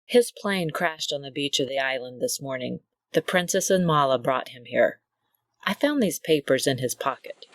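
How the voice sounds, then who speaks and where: A woman's voice: rumbling low, lively intonation, normal speed, dry recording. A woman playing a dutiful Amazon doctor in an old-style radio serial, formal and matter-of-fact. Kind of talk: 1940s radio serial acting.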